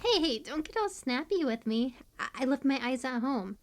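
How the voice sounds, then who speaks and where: A woman's voice: high-pitched, lively intonation, normal speed, a little hiss or hum. A woman playing a hyperactive, kooky young stranger in an audio roleplay, rambling and stammering through playful, slangy banter addressed to the listener. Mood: awkward, opinionated. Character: hyperactive, chatty, kooky young female cemetery stranger, teasing.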